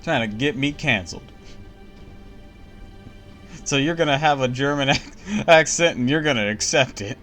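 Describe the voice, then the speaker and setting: A man's voice: mid-range voice, moderate pace, pauses often, fairly bright tone. A man streams a game from home and talks casually to his viewers. He is blunt and jokey, and faint game music runs behind him. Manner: blunt, laughing, joking. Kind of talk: casual gameplay commentary.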